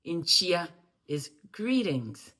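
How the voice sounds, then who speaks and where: A woman leads a friendly greetings lesson to camera. She repeats each phrase slowly so learners can echo it. Now and then she breaks into lively, loud bursts of emphasis. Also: lowish voice. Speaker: language and culture teacher.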